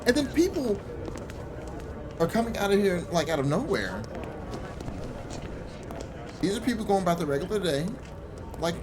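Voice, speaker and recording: A man's voice: high voice, very animated, normal speed, long pauses, noisy background. A man hosting a let's play with casual, joking and sarcastic commentary. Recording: clear music and game audio behind. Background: game music.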